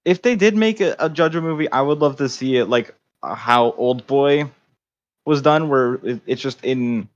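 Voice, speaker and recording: A man co-hosting a podcast in slangy, halting casual talk full of filler words. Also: mid-range voice, highs cut off. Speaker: podcast co-host.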